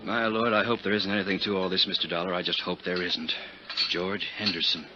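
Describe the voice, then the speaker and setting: An actor playing a flustered, gossipy American insurance broker, earnest and confiding, in a 1950s radio drama. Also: male voice, low voice, level tone, a little fast, noisy background, lightly acted. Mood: anxious. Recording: muffled 1950s radio recording.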